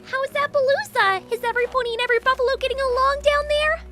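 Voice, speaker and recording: A woman voicing a bubbly, hyperactive pink female party pony in a fan audio drama, chattering excitedly. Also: extremely high pitch, fast, faint music behind.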